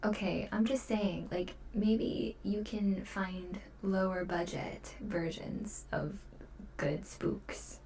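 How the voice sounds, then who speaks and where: A woman livestreaming ASMR, chatting playfully to viewers in a breathy whisper, close to the mic. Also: medium pitch, fairly even intonation, takes it slowly, a little mellow, slight background noise.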